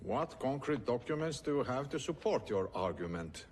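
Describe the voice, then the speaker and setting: A man's voice: mid-range voice, very animated, normal speed, a little hiss or hum, natural voice. An actor playing an authoritative male judge who gives formal rulings. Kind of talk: film courtroom drama acting. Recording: reverberant courtroom film audio.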